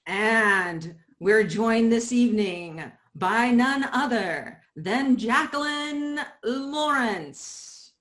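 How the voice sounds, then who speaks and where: A woman's voice: fairly high pitch, lively intonation, very slow, fairly bright tone. A woman acting as MC, introducing performers with an enthusiastic, showy delivery. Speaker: MC of a stage event. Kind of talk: introducing performers at a live event.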